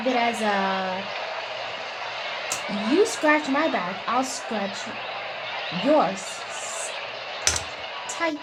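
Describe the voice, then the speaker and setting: Female gameplay host, high voice, unhurried, very noisy home recording. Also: animated.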